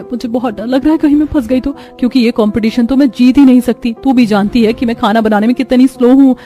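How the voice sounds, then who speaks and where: Woman playing an anxious, high-voiced young daughter-in-law. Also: warm tone, natural voice. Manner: fretful, pleading, talks to herself. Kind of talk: Hindi animated moral story dialogue.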